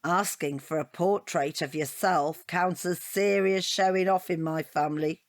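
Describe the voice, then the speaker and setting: A middle-aged British divorcee in an audio comedy drama. Her voice sits fairly low and is recorded dry and close. She rambles chattily, wry and defensive, forever justifying herself. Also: steady tone, unhurried, no room sound. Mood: wry, reminiscing.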